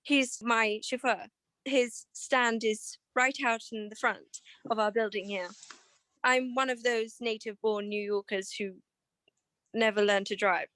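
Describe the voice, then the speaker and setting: Woman acting genteel rich wife, high voice, anxious, evasive, hesitant, old radio detective drama, dry sound. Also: steady tone, measured pace, a bit bright, no echo, rough recording. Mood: explaining, uneasy.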